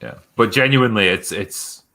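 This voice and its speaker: A man's voice: fairly low pitch, normal speed. A man hosting a casual podcast chat, reading out viewer questions. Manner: relaxed, reads viewer questions aloud. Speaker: host.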